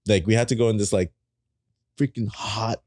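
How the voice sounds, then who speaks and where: A man in his thirties, a native German speaker, telling wild travel stories as a podcast guest with lots of laughter and cursing, in a small studio on a boom mic. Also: moderate pace, dry recording. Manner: animated, laughing, swearing freely. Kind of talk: casual storytelling in a podcast. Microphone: boom mic on a stand.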